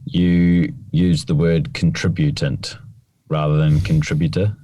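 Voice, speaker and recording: A man's voice: rumbling low, little pitch movement, a little slow, mellow, no echo. A man co-hosting a golf podcast, precise about the rules and dryly teasing his co-host. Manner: precise, correcting, dry teasing. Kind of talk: casual podcast chat.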